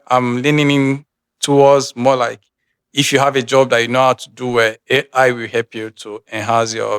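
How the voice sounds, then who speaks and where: A man's voice: mid-range voice, clean tone, dry recording, clean studio sound. A man with a Nigerian accent hosting a reaction channel, thinking aloud and restarting his sentences. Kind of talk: casual reaction commentary.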